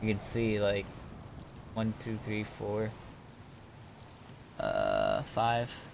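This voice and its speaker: A man streaming an online roleplay game, chatting casually and haltingly as he thinks aloud. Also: on the low side, big pitch swings, gravelly, darkish tone, a little hiss or hum. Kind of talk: casual gaming chat.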